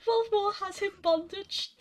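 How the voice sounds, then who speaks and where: A giggly, excitable woman co-hosting and reacting to a reading with casual banter. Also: very high voice. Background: faint music.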